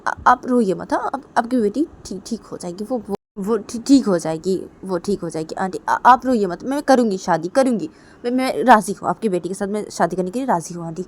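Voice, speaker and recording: A woman voicing a reassuring young woman who gently coaxes and comforts, repeating herself. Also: mid-range voice, voice pushed up, close to an everyday voice. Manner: gentle, repetitive coaxing.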